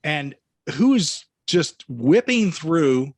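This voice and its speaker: A man hosting a podcast interview, friendly and self-deprecating, clearing his throat now and then. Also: a little high, sing-song, drawn-out words, no room sound, clean studio sound. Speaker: podcast host. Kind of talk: casual interview chat.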